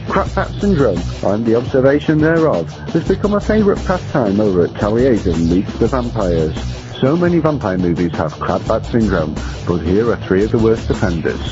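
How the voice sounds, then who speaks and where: A man with a low voice delivers a scripted film review in a dry, wry manner. Guitar music plays clearly behind him, and the recording is somewhat muffled. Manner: dry, wry, formal phrasing.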